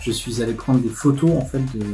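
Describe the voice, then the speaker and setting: A man's voice: medium pitch, a little fast, warm tone. A man, explaining things in an informal, conversational way as a vlog host. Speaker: video host, animator. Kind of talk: casual explanatory vlog talk to camera. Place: indoors, living room with a desk. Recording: music playing behind the voice. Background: music with guitar.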